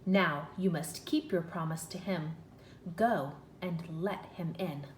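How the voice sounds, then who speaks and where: A woman voicing a stern king in a storybook, a father admonishing in a measured manner. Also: low voice, reverberant, a little hiss or hum, pitched below the performer's own voice.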